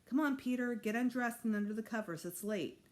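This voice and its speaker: A woman's voice: a little high, fairly quick, darkish tone, voice pushed up, close to an everyday voice. A woman voicing a patient, reassuring mother in a storybook, coaxing and placating her child. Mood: gentle.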